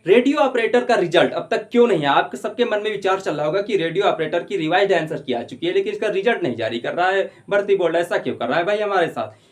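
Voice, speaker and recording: A man's voice: sits high, lively intonation, quick pace. A young man gives an informal update to viewers, addressing them like brothers and repeating his points reassuringly. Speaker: exam-update video host.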